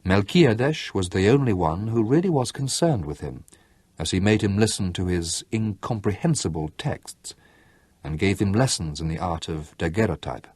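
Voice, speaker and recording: A man narrates a literary novel in a measured storytelling manner, slowing for dramatic effect. His voice sits low and sounds husky, recorded close and dry with no room sound. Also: lively intonation, darkish tone, no room sound. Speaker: audiobook narrator.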